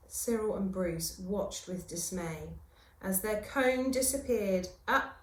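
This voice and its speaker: A woman teacher reading a children's story aloud in a theatrical, expressive way with dramatic pauses. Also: medium pitch. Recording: roomy, echoing room sound.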